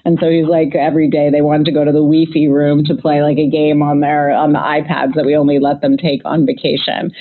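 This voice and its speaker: Low-voiced woman on a tinny phone line. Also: level tone, fairly quick, hardly any pauses. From United States. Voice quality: self-described loud voice. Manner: chatty, with frequent fillers and restarts. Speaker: guest describing a family trip. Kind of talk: casual conversational storytelling.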